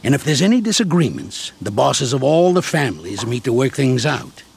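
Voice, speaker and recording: Casting: a seasoned mob mentor, gravelly and animated, streetwise. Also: male voice, medium pitch, moderate pace, full character voice. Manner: streetwise, lively, explains patiently. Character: older male mobster inmate, seasoned and reassuring mentor. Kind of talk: video game cutscene acting.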